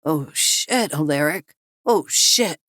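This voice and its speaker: A woman's voice: sits low, lively intonation, takes it slowly, fairly bright tone, dry recording, studio quality, exaggerated voice. A woman voicing a frightened younger man in an audiobook, stammering and pleading in a whining way. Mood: scared.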